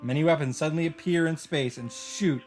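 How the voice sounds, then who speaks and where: A man's voice: fairly high pitch, brisk. Man reading dramatically over music. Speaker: host reading a visual novel aloud with reactions. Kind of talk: dramatic read-aloud narration.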